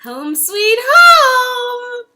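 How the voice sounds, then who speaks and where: A woman's voice: extremely high pitch, big pitch swings, extremely slow, bright, forceful tone, soft background music, voice pushed up, heavily acted. A woman playing a playful, affectionate young woman in an interactive story game, with a theatrical, teasing manner. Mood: bubbly, teasing.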